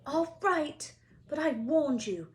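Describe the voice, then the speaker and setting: Woman acting out bossy, shrill, high-pitched schoolgirl. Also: expressive, slow pace. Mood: indignant scolding. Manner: scolding, insistent.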